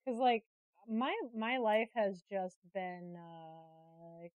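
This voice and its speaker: A woman speaks in a chatty, candid interview style at a medium pitch. Her delivery is expressive and self-deprecating. The recording is close and dry, with a slightly dull, lo-fi sound. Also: very slow, clean tone, no room sound. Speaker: interviewed artist and creative director. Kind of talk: casual, candid podcast conversation.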